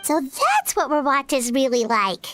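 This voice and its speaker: A woman voicing a small, childlike fairy companion in a video game, chirpy and cheeky with a squeaky tone. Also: wildly swooping pitch, measured pace, a bit bright, slight background noise, heavily acted. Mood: impressed. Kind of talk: video game character acting.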